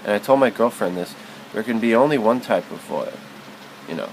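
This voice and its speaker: A man giving a literary spoken-word recitation in a theatrical, halting, wordy way, stumbling and repeating himself. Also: lowish voice, lively intonation, a little mellow, a little hiss or hum, poor audio.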